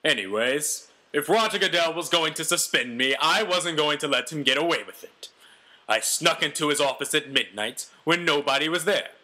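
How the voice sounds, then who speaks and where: A man's voice: fairly high pitch, expressive, moderate pace, bright, forceful tone. A man voicing a brash, self-important ex-convict quarterback telling his own story with melodramatic, boastful delivery. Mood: indignant, confiding.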